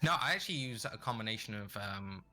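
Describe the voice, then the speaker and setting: A man with a fairly low voice and a bright, forceful edge, talking casually as an interview guest. He rambles, restarting sentences as he goes. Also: lively intonation, quick pace, faint music behind. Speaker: guest streamer.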